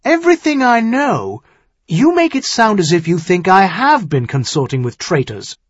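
A man playing a proud younger nobleman who is quick to take offence, sharp and demanding, in an audiobook. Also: a little high, expressive, husky, dry recording, dull audio, pitched above the performer's own voice. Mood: hotly indignant. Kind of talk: audiobook character dialogue.

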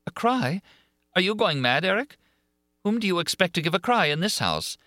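A man voicing a frightened young woman who pleads in a high voice. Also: big pitch swings, moderate pace, bright, forceful tone, no echo, clean studio sound, heavily acted. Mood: frightened, pleading.

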